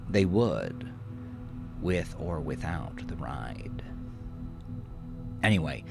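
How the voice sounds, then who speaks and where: A male narrator reads dramatic fiction in a deep, creaky voice. His pitch moves widely through the scripted narration, and music plays underneath.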